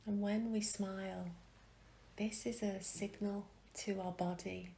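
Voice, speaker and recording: A woman leading a guided meditation in a gentle, soothing way, with soft phrases that trail into a whisper and long pauses. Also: medium pitch, flat delivery, gravelly, slight background noise, rough recording.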